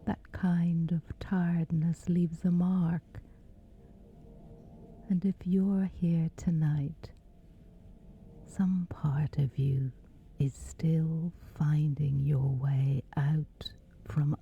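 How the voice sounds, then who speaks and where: A woman guiding a scripted sleep meditation in a breathy, whispery, hushed and soothing voice, leaving long pauses. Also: sits low, steady tone. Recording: slightly dull, soft music behind. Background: music.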